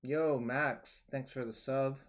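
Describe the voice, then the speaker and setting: Young man, mid-pitched, casual game stream, rambling, thinking aloud. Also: steady tone, slow pace, slight background noise.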